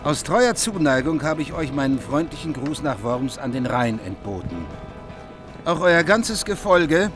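A stately medieval king greets his guests with formal courtesy. His voice rises and falls with lively pitch while music plays underneath. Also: a little slow. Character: hospitable, wary medieval king. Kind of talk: German radio play acting.

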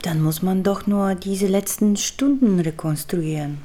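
An actress playing an investigator's calm, sceptical woman partner in a German radio drama, questioning things logically. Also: mid-range voice, unhurried, lightly acted.

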